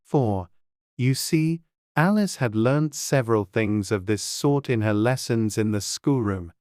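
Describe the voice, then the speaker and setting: An audiobook narrator reading a children's story in a measured, deliberate storytelling way. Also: medium pitch, expressive, a little mellow, dry recording, clean studio sound.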